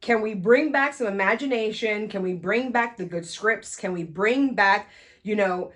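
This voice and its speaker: A woman host chatting on her own show, her crackly voice running loose and conversational. She laughs often and trails off into restarts, sitting at an ordinary middle pitch for a woman. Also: normal speed, fairly bright tone. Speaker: podcast and radio host. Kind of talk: casual solo chat.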